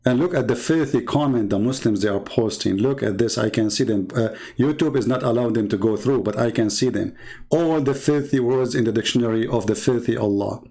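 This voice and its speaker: A man's voice: medium pitch, a little fast, mellow. A middle-aged man with an Arabic accent, mocking and scornful as he argues with online commenters in combative commentary. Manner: mocking, scornful, sarcastic laughs. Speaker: online debater.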